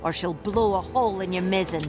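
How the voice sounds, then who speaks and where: An actress playing a mad, angry pirate captain in a video game, brash and threatening. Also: female voice, mid-range voice, brisk, music in the background, natural voice.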